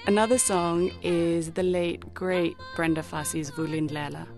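A woman's voice: lowish voice, steady tone, unhurried, over music. Reflective woman with British-Kenyan accent. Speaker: radio producer. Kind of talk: personal scripted radio segment.

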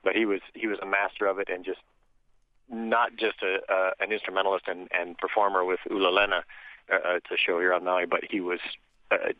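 A man with a low voice calls in over a tinny phone line. He talks hesitantly and repeats himself as he gathers his thoughts. The sound is dry and narrow. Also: no echo. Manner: hesitant, warm about his subject, repeats words. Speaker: caller. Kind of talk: phone-in call.

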